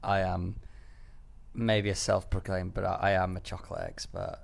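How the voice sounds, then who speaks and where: Deep, gravelly man in casual chat. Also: little pitch movement. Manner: boastful, halting, with stammered restarts. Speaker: podcast co-host.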